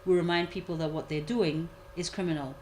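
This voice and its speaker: A woman commentator giving a measured analysis, repeating key points for emphasis. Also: fairly low pitch, fairly even intonation, darkish tone, slight background noise. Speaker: guest commentator. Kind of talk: analytical discussion.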